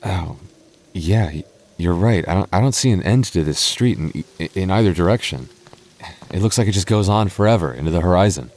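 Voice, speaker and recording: A man's voice: low voice, expressive, a little fast, darkish tone. A young man voicing a goofy, doting goth boyfriend in an ASMR roleplay, talking in a stammering, self-teasing way with frequent laughs. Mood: uneasy. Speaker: voice actor.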